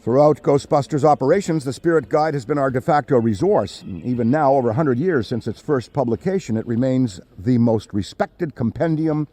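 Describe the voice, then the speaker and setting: An older American man playing an excitable, nostalgic ghost-hunting scientist who rambles in wordy monologues for a game cutscene. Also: mid-range voice, moderate pace, hardly any pauses, lightly acted. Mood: eager, reverent. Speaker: veteran ghost hunter and paranormal scientist. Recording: music behind the voice.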